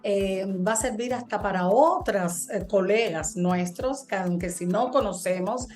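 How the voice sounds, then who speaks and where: A woman with a mid-range voice hosts a casual conversation. She pauses often and fills the gaps with hesitations while staying friendly. Faint music runs behind her. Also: medium pitch, darkish tone. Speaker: host, realtor. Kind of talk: casual interview chat.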